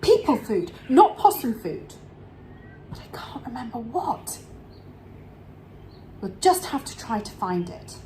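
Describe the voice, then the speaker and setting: Woman voicing an emphatic, kindly grandmother possum, animated. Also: a little high, slow, long pauses, a little hiss or hum. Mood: excited urgency. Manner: emphatic, encouraging, exclaiming. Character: kindly, excitable grandmother possum who makes magic. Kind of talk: storybook character voice.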